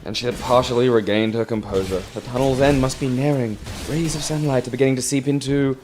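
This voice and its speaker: Sarcastic, sweary man, mid-pitched voice. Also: steady tone, brisk, a little mellow, a little hiss or hum. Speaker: co-host of a gaming show. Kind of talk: casual banter and mock story reading.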